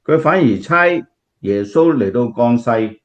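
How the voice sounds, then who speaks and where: A man's voice: mid-range voice, expressive, measured pace, lightly acted. Man playing an earnest Cantonese preacher, slightly muffled. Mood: earnest preaching. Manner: explanatory, earnest, emphatic. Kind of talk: radio drama acting, sermon-like.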